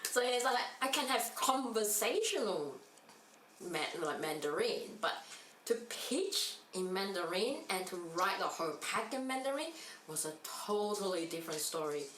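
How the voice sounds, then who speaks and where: A woman entrepreneur in a casual chat, telling an animated story with laughs. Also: medium pitch, moderate pace, a little hiss or hum. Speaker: entrepreneur guest. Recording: echoey room, mic at a distance.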